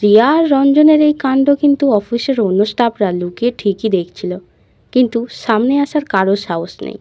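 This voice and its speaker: A woman's voice: on the high side, normal speed, warm tone, dry recording. A woman reading a scripted love story as its narrator.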